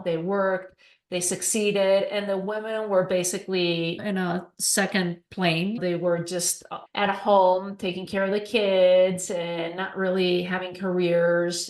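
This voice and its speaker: Chatty Spanish-born woman, roomy sound. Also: lowish voice. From Spain. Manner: chatty, acts out quoted dialogue. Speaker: podcast guest. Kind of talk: casual storytelling interview. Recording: roomy echo.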